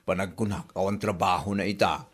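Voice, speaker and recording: A man's voice: low voice, steady tone, a little fast, husky. A man with an Ilocano accent acting a gossipy, resentful laundry worker in a radio comedy drama, with exclamatory delivery. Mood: gossipy, scornful.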